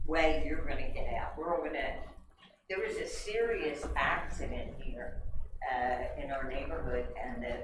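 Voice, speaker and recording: Older woman resident, low voice, pressing concerned questions off-mic, echoey meeting hall. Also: recorded from a distance, background noise. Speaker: resident commenting at public meeting. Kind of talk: public comment at township meeting. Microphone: away from the microphone.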